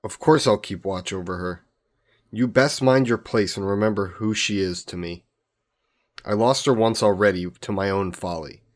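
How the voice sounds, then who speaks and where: A man playing an old aristocratic vampire in a romantic audio roleplay, speaking in formal, genteel, measured phrases. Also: lowish voice, natural voice. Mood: stern, commanding. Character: ancient aristocratic male vampire, courtly, protective, gently teasing.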